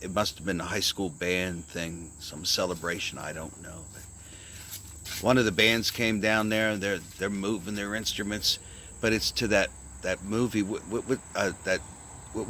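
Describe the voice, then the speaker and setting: A man rambling through a deadpan comic story in a halting, meandering way. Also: sits low, a little hiss or hum. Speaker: vlogger.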